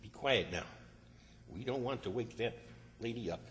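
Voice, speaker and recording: A man's voice: mid-range voice, moderate pace, a bit bright, close to an everyday voice. A man playing an exasperated, scheming country fellow in old-time radio comedy style, with a folksy, fussy manner. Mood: scheming, hushed. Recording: reverberant hall stage recording.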